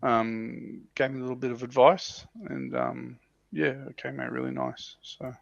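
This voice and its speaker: A man chatting casually and a little haltingly as a panelist on a hobby livestream. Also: mid-range voice, steady tone, creaky, dry recording. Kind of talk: casual chat about miniature wargaming. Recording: dull, muffled lo-fi audio.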